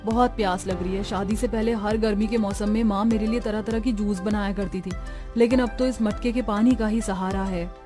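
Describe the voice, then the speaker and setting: A woman's voice: mid-range voice, fairly even intonation, normal speed, natural voice. A woman acting the caring, earnest women of a family in a dramatised story. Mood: suffering. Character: young caring dutiful daughter-in-law and worried mothers. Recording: music under the voice.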